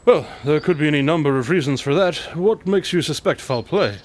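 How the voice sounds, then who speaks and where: A man's voice: on the high side, animated, brisk, darkish tone, slight background noise, lightly acted. A man playing a composed, inquisitive detective in an audio drama, questioning in a dry, slightly formal, courteous way. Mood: probing.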